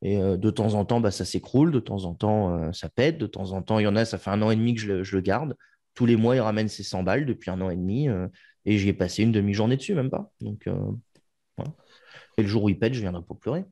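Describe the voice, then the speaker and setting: Male podcast host, laid-back conversational delivery, warm low timbre, casual interview. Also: fast. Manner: relaxed, chatty, trails off with "quoi".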